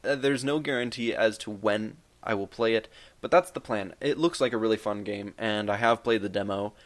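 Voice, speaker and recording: A man's voice: on the low side, moderate pace. A man in his twenties answering viewer questions in a casual, rambling, joking vlog. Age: 20s. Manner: rambling, joking, many fillers. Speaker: YouTube gaming creator.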